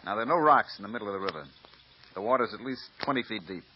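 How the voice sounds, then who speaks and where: Man as a quick-talking police lieutenant, low voice, hissy old radio. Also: expressive, natural voice. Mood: instructive. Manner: brisk, instructive. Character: brisk male police lieutenant, knowledgeable. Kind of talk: 1940s radio acting. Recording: dull old radio recording, faint hiss.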